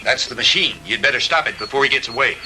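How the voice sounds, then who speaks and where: A man's voice: mid-range voice, fast talker, over music, close to an everyday voice, poor audio. An actor playing an earnest man in trouble, in a 1960s B-movie style. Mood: urgent. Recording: old 1960s film soundtrack.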